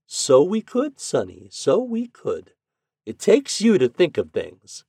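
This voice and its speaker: A man acts out an affectionate elderly grandmother from a children's story. She speaks in homely rural phrases, contented and encouraging, with a lifted, lilting pitch. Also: wildly swooping pitch, normal speed, a little mellow, breathy edge, no echo, clean studio sound, exaggerated voice. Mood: affectionate, amused. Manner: folksy, homely rural speech.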